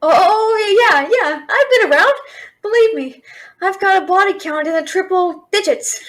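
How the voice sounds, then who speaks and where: A woman playing a brash, fast-talking young female thief and failed alchemist in a fantasy audio roleplay, chattering with sarcastic, exasperated bluster. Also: extremely high pitch, animated, a bit bright, exaggerated voice. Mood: nervous bragging. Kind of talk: fantasy audio roleplay, addressing an unseen listener.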